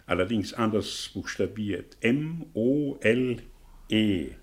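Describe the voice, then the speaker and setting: A man's voice: on the low side, lively intonation. An actor in a German radio play playing a suspicious, sly middle-aged tutor with a theatrical, probing way of drawing out his phrases. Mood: teasing, probing. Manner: theatrical, probing, drawn-out phrasing with sudden swings. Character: suspicious, sly English tutor, a man living under an alias.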